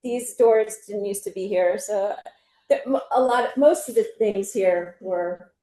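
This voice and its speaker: A woman from New Jersey giving a casual home tour and interview, chatty and halting, laughing easily. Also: medium pitch, dark tone, low-quality recording. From New Jersey, USA. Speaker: interview guest and expat homeowner.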